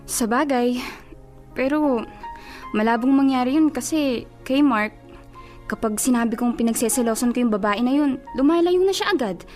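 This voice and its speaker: An actress playing a calm, reasoning young female friend in a Filipino radio drama, with a Filipino accent. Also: a little high, normal speed, pauses often, faint music behind, lightly acted.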